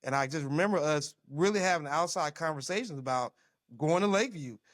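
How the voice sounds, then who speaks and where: A man in his fifties, a former football player, telling old team stories in a lively, laughing way. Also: fairly high pitch, brisk, no echo, studio quality. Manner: animated storyteller with laughs. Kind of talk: casual reminiscing conversation.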